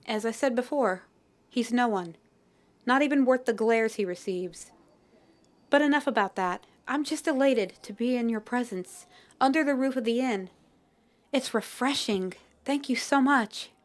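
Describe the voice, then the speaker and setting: A woman's voice: fairly high pitch, lightly acted. Inga, a woman playing a shy young flower girl. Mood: warm, grateful. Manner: soft-spoken, polite, old-fashioned phrasing. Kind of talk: fantasy audio roleplay.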